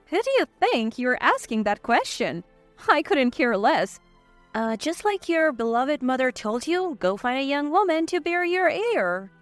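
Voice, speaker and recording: A woman's voice: high-pitched, sing-song, unhurried, no echo, soft background music. A woman voicing a cool, resolute wife in a narrated divorce story, firm and dismissive. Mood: scornful.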